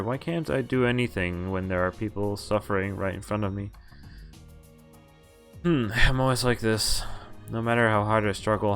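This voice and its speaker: A man's voice: lowish voice, fairly bright tone. A man streaming a game, reading its story aloud, who often stumbles and corrects himself. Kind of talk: reading a visual novel aloud. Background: game music.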